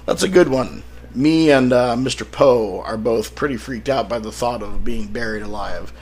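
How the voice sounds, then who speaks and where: A man hosting a solo podcast in a casual, wryly joking manner, his voice a little rough from being sick. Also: medium pitch, normal speed, darkish tone. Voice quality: slightly rough, throat-clearing. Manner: wry, self-deprecating joking. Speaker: podcast host and author.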